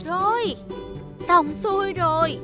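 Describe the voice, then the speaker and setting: A woman's voice: extremely high pitch, takes it slowly. A woman voicing a cheerful young vehicle character in a dubbed children's cartoon, bubbly and childlike. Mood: cheerful, reassuring. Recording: music behind the voice.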